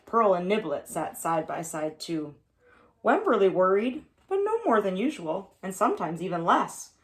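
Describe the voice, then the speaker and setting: A woman preschool teacher reading a picture book aloud to young children, with expressive, deliberate phrasing and emphasized words. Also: mid-range voice.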